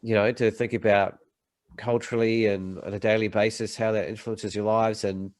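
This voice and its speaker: A man's voice: lowish voice, little pitch movement, moderate pace, a little mellow, no echo. A man from the United States hosting a podcast interview over a video call, rambling with many fillers and restarts. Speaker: podcast host. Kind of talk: casual interview chat.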